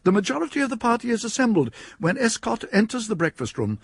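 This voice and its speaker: A man narrating a radio drama in a measured, wry manner. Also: sits high, very animated, slightly rough, dry recording.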